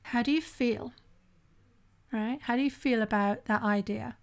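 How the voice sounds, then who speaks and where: A woman in middle age hosts her own podcast alone. Her voice sits a little high, and she talks in a loose, conversational way, stopping to restart a phrase and asking "right?" to keep the listener with her. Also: measured pace. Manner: conversational, often asks "right?", occasional restarts. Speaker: podcast host and sober-living coach. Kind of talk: solo podcast monologue.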